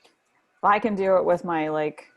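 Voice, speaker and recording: A woman's voice: fairly low pitch, unhurried, dull audio. A woman from Canada with a Canadian accent and a slight drawl, chatting casually as a livestream co-host. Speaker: podcast co-host. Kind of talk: casual livestream chat, reading viewer comments aloud.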